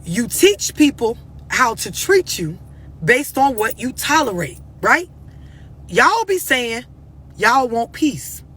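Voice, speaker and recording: Husky, blunt American woman. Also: on the high side, very animated, takes it slowly, fairly bright tone. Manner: confrontational, emphatic, repeats questions insistently. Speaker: host of a live stream talking to followers. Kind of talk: blunt relationship advice talk, unscripted.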